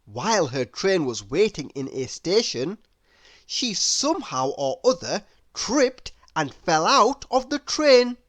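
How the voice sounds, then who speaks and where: A woman narrating a children's picture book aloud in an expressive, deliberate, theatrical storytelling manner. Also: fairly low pitch, no room sound. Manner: deliberate, theatrical, with playful exclamations.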